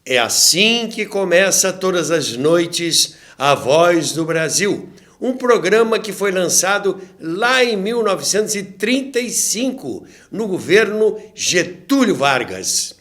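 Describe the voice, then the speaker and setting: A man's voice: high voice, sing-song, slow pace, few pauses, a bit bright. A Brazilian man hosting and narrating radio history as a storyteller, with theatrical rhetorical questions. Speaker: podcast host and narrator.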